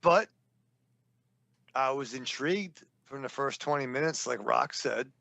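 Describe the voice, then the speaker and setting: A man with a New England accent trades crude jokes as a podcast co-host. His voice is gravelly yet bright. The sound is dry and close. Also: medium pitch, a little slow, no echo. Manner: rambling, joking, swears a lot. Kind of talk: casual, profane banter.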